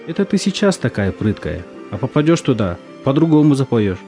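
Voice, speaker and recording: An actor playing a gruff, weary military recruitment commander in an audio drama, curt and stern as he questions young volunteers. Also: mid-range voice, very animated, brisk, mellow. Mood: gruff, impatient. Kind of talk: stage-style audio drama acting. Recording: music under the voice.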